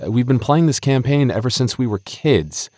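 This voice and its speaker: A man's voice: medium pitch, expressive, normal speed, warm tone, no echo, natural voice. A man acting an exasperated young male game master and childhood friend in an audio roleplay, with a hesitant manner. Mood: frustrated, confronting.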